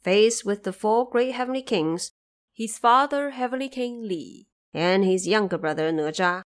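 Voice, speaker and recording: A woman's voice: mid-range voice, lively intonation, measured pace. Woman narrating an audio drama. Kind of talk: scripted audio drama storytelling.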